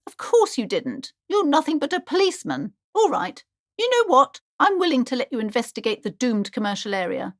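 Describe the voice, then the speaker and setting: A woman's voice: sits high, sing-song, brisk, no echo, studio quality. An actress playing a superstitious, pretentious, anxious woman who runs a bookshop, speaking in a haughty, melodramatic, affectedly genteel way for a video game. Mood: bitter, disdainful, then composed, brisk. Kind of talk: theatrical game dialogue.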